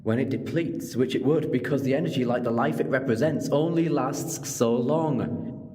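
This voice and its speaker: A man's voice: mid-range voice, mellow, natural voice. A man playing a clever, chatty alien time traveller in an audio drama, explaining things conversationally with moral earnestness. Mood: earnest, indignant. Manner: expository, conversational, quick to digress. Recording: long reverberant hall.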